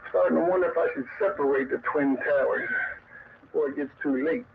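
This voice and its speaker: A man's voice: on the high side, fairly quick, creaky. A man chatting casually as a CB radio operator, his voice coming through a radio transmission. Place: heard over CB radio. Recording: thin, phone-like CB radio, faint static.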